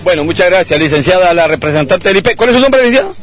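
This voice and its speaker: A man reporting for television outdoors, pressing people with quick questions into a handheld mic. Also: high-pitched, lively intonation, faint music behind. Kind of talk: on-the-street news interview. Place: outdoors at a community dispute.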